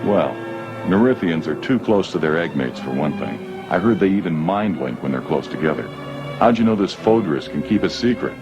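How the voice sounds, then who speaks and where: A wry, sarcastic smuggler captain with a very low voice and a lively, swinging delivery. The sound is dull and lo-fi, with music underneath. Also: male voice, normal speed. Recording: dull lo-fi 1980s record with music.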